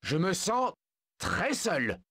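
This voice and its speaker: A lonely lion with a lively, expressive voice. He sounds plaintive and remorseful as he talks to himself. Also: sits high, drawn-out words, vocal fry, slightly rough, exaggerated voice. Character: lonely remorseful male lion, lost in the wild.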